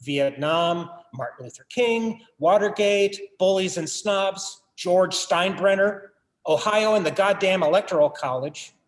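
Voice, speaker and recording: A man acting a brooding artist and obsessive collector in a stage play. His fairly high voice muses earnestly and wryly, like a theatrical monologue. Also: unhurried. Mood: bitter, wry.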